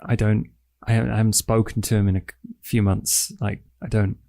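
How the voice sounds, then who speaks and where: Mellow, low-voiced laconic man. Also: level tone, fairly quick, vocal fry, no echo. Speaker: tabletop game player. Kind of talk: casual, improvised roleplay chat.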